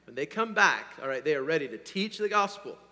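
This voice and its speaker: Hoarse male pastor, bright and fairly high, energetic, swings from loud to hushed, long hall reverb. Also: a little fast. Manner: energetic, swinging from loud to quiet, repeats "all right?". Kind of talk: sermon. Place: church sanctuary.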